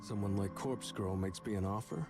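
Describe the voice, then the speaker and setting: A man playing a morbid, unscrupulous morgue worker in a visual novel, talking in terse, deadpan phrases. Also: fairly low pitch, moderate pace, lightly acted. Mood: casual, morbid. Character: morbid, unscrupulous male morgue worker, laid-back admirer of killer. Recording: music behind the voice.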